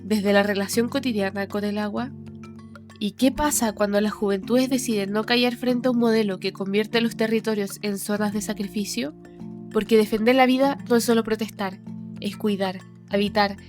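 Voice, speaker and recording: A young woman hosts a podcast and reads a scripted introduction in an earnest, steady way, posing rhetorical questions. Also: mid-range voice. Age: young adult. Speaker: podcast host. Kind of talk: scripted narration.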